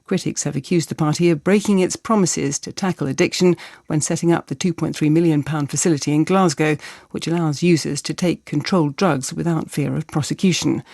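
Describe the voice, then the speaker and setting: Woman newsreader, slightly creaky, close voice. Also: deep voice, a little mellow, no echo. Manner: measured, formal. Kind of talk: scripted radio news reading.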